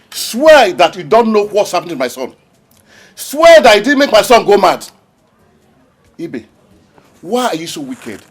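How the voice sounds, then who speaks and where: An actor playing a furious, grief-stricken father who shouts accusations at his brother. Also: sits high, slightly rough, full character voice. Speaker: father in a village drama.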